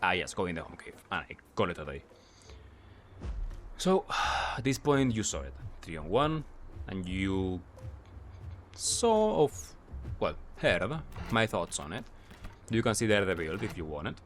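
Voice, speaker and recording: Low-voiced man giving gameplay commentary. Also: very animated, unhurried, long pauses, a little hiss or hum. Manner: asks himself questions and answers them. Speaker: gaming video creator.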